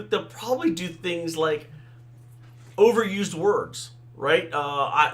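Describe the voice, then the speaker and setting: A man's voice: fairly high pitch, fairly bright tone. A middle-aged man, Tamil his first language, chatting through a podcast monologue about the writing craft. His voice sits a little above the usual male range. He keeps it conversational, tossing in "right?" and "you know" as he goes. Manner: conversational, many fillers and "right?" asides. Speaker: podcast host, author and game designer. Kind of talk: casual solo talk on writing craft.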